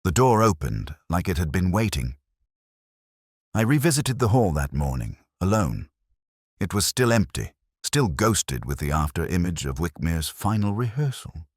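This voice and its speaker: Man playing a weary detective inspector, middle-aged, bass-deep, dry close sound, reflective, measured, literary audio drama narration. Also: sing-song, dry recording. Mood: reflective, grave. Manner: measured, brooding, literary delivery. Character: male weary, reflective, literary British police inspector narrating his case. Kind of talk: scripted first-person detective narration.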